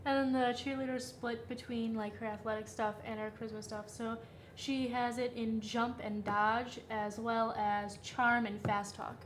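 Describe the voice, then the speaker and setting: A woman's voice: on the high side, level tone, moderate pace, a little hiss or hum. A woman in a group chat giving a brief, matter-of-fact rundown of her game character. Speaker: player in the group. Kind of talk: casual chat.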